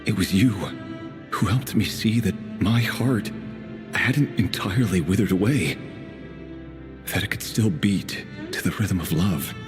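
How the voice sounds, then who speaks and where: A reflective game character with a low voice and music behind him. He is understated and confiding, with a dry wit and the heart of a man in love. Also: a little slow. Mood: tender, solemn. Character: reflective, dryly wry man in love, reading his diary. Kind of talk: video game cutscene voice acting.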